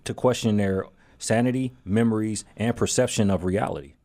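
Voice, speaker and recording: A man's voice: lowish voice, normal speed, a little mellow. A man co-hosting a casual talk show, explaining his points step by step and acting out everyday examples. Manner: explains with acted-out examples, builds up energy. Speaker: co-host. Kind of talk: casual podcast chat.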